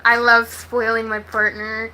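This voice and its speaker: An American woman talks casually to her livestream viewers. Her voice sits fairly high and is extremely bright and sharp. She is blunt and slangy, and she swears freely as she chats. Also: monotone, measured pace, a little hiss or hum, rough recording. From Texas, United States. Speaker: livestream host.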